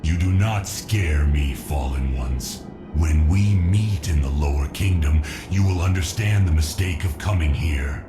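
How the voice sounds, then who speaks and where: Ancient spider monarch, extremely low, echoing, proud and defiant, formal speech, fantasy game. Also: male voice, a little slow. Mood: grave defiance. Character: defiant old spider king of an underground kingdom. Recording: roomy echo, faint music behind.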